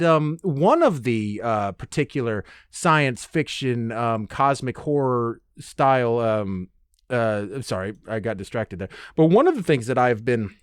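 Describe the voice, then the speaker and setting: Male solo podcast host, mid-pitched, casual and digressive, halting delivery, close dry recording. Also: no room sound. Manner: halting, digressive, self-interrupting with trailing restarts.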